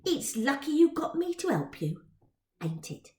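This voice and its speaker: Storybook street urchin, played by a woman: boyish Cockney rascal, boastful and chatty, very animated, echoey room. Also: high voice, unhurried, pitched above the performer's own voice. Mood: conspiratorial, helpful. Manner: bragging, ungrammatical street slang. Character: cocky, street-smart young boy pickpocket, chatty Victorian rascal.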